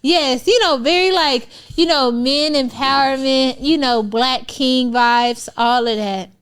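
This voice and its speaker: A woman's voice: sits high, slow, a bit bright, clear, resonant tone. A young woman co-hosting a podcast in casual chat, bubbly and teasing. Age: young adult. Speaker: podcast co-host.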